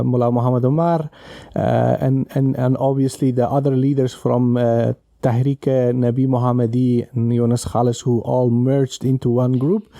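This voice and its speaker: Male podcast panelist, mellow and warm, very dry sound, deliberate halting storyteller. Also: medium pitch, dry recording. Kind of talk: explanatory storytelling.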